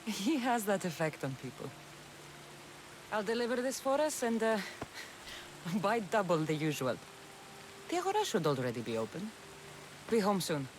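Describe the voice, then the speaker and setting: An actress playing a weary but devoted warrior mother in a video game, wry and earnest in a measured way. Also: mid-range voice, big pitch swings, slight background noise, natural voice. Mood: wry, fond. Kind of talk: dramatic video game acting.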